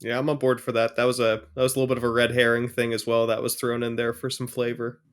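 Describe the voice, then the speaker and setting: A man's voice: mid-range voice, completely flat delivery, fast talker, smooth. A man with a mid-range voice speaks as a podcast co-host. His delivery is casual and conversational.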